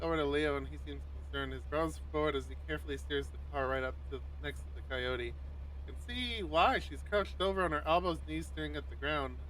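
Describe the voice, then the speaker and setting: A man livestreaming a visual novel, mixing expressive, sweary casual chat with reading the narration aloud. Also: on the high side, a little fast, a little hiss or hum, low-quality recording.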